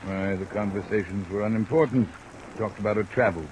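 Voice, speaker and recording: A man's voice: lowish voice, brisk, close to an everyday voice. An actor playing a formal, defensive American doctor guarding his reputation in a 1950s radio drama. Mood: guarded. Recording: noisy old radio recording under rain.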